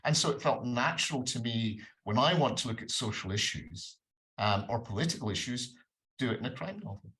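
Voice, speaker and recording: A man's voice: mid-range voice, lively intonation, a little fast, bright, forceful tone, low-quality recording. A man with a Scottish accent, giving considered, articulate answers as a guest author in a panel discussion. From Scotland. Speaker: crime novelist, festival panel guest.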